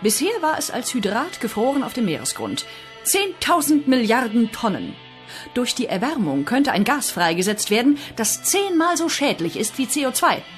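Celestial briefer, matter-of-fact, commanding, animated intonation, faint music, comedic radio play. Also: female voice, a little high, moderate pace. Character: brisk, commanding female climate briefer in a heavenly agency.

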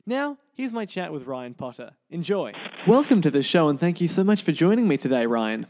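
A man's voice: a little high, sing-song, fairly quick, darkish tone. A man hosting a podcast interview in a polished presenting style.

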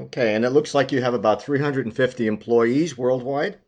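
A male interviewer puts questions to a business guest in a voice of average pitch. His delivery is that of a structured business interview. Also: brisk, darkish tone, lo-fi sound.

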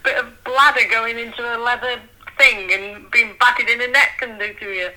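A woman, talking in lively, articulate casual chat as co-host of a fan podcast, with dry humour. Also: a little high, moderate pace, poor audio.

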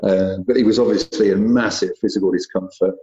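A man's voice: sits low, steady tone, a little fast, warm tone, reverberant, muffled recording. A British man talking squash in a casual chat, rambling with many fillers and restarts. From Britain.

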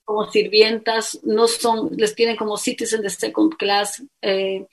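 A woman's voice: mid-range voice, measured pace, rough recording. Earnest Ecuadorian woman telling her story. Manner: earnest, animated storytelling with quoted dialogue. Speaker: guest.

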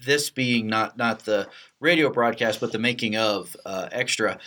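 A man co-hosting a podcast, chatting casually in a halting way and repeating himself. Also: lowish voice, sharp tone. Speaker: podcast host.

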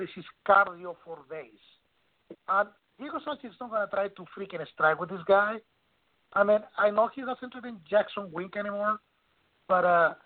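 A man making fight picks in casual chat, emphatic and blunt, swearing casually. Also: high-pitched. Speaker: panelist. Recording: tinny phone-line audio.

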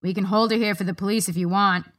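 A woman's voice: mid-range voice, fairly even intonation, fast talker, a bit bright, lightly acted. A woman reading an audiobook, voicing a blunt, streetwise male museum guard who talks in plain slang. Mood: gruff, impatient.

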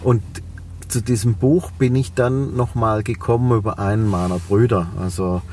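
A man's voice: lowish voice, fairly even intonation, normal speed, a little mellow, distant mic, slight background noise. A man in his forties with a Swabian German accent, a business owner talking reflectively as an interview guest, with many fillers. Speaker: guest, cleaning company owner. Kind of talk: podcast interview.

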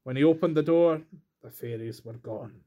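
A man's voice: on the high side, moderate pace, muffled recording. A man reading a folk tale aloud as storyteller, in scripted narration.

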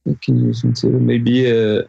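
A French-accented man pauses and gropes for the right words as he answers, his thoughts coming out in halting pieces. His voice sits at an ordinary middle pitch and arrives dull and lo-fi, as if over a video call. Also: completely flat delivery, creaky, mellow, breathy edge, no echo. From France. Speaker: guest musician. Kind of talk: remote interview answers.

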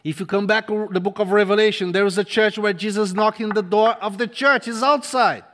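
Brief: male preacher, native Portuguese speaker, fervent, dynamic delivery, fairly high voice, long hall echo. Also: fairly even intonation, brisk. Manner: impassioned, swings from loud to hushed, repeats words for emphasis. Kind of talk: sermon. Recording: long reverb of a large hall.

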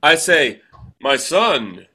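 A man's voice: a little high, big pitch swings, very slow, sharp tone, husky. A man chatting casually as a co-host, telling anecdotes and acting out bits of quoted dialogue. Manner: acts out quoted dialogue while telling stories. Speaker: podcast co-host, theatre director and actor. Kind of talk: casual chat, animated anecdotes.

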